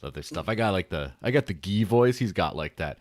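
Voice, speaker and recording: A low-pitched man hosting a casual livestream podcast, his voice light and buttery. He rambles, jokes and pokes fun at himself. The sound is dry and close. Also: lively intonation, quick pace, dry recording. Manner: joking, rambling, self-deprecating. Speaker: podcast host.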